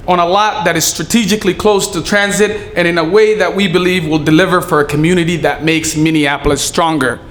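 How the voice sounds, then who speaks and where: A man giving a prepared speech at a community event, delivered earnestly and measured, with some halting fillers. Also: on the high side, a little hiss or hum. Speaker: city staffer giving a speech. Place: event hall. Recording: echoey room with faint ambience.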